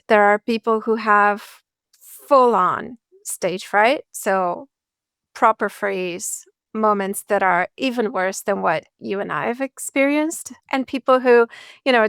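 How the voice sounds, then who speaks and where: Articulate woman speaking coach, smooth gravelly voice. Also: mid-range voice, dry recording, clean studio sound. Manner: articulate, measured, reassuring. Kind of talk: podcast interview answers.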